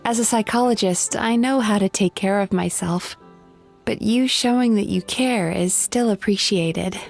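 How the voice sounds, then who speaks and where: A woman voicing a calm, reassuring psychologist spirit who eats dreams, in a video game. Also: medium pitch, creaky. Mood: gentle. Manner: soft, measured and reassuring. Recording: faint game music behind.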